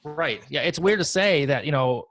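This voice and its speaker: A man in his forties on a video call from his home office, talking in a relaxed, rambling, self-deprecating way. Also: on the high side, moderate pace. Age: 40s. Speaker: guest. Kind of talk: casual video call interview.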